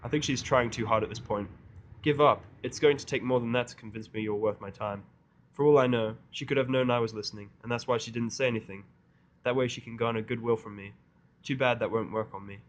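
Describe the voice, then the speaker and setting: A man's voice: medium pitch, fairly even intonation, a little fast, muffled recording. A man narrating a first-person story in character, with a dry, sardonic manner. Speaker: audiobook narrator.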